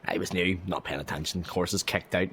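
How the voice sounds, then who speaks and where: A man performs a young working man of Victorian London for a historical audio drama. His voice sits low, and he chats in a cheeky, jovial, bantering way. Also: steady tone, normal speed, fairly bright tone, a little hiss or hum. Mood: fond, bantering.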